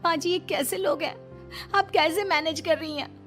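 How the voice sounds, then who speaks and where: A woman's voice: extremely high pitch, normal speed, fairly bright tone, soft background music, pitched above the performer's own voice. A woman playing a wronged young daughter-in-law in a story, educated and defiant, with an emotional, protesting delivery. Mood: upset. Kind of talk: dramatised Hindi moral story.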